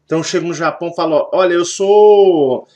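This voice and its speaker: A man's voice: on the high side, a little fast, darkish tone. A Brazilian man with a Brazilian Portuguese accent, hosting a spiritual channel and explaining in a rambling way, repeating himself and using many fillers.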